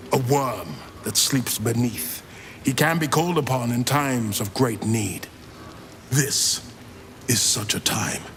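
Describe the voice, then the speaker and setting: A man's voice: medium pitch, fairly bright tone, slight background noise. An actor playing a proud, anguished older grand duke and father, with solemn, formal delivery in a fantasy game. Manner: solemn, formal, theatrical oratory. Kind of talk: dramatic fantasy game acting.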